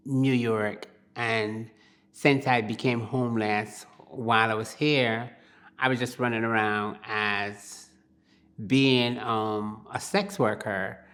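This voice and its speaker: An older woman from Barbados, telling her life story in an oral history interview in a plain-spoken, reflective way. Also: rumbling low.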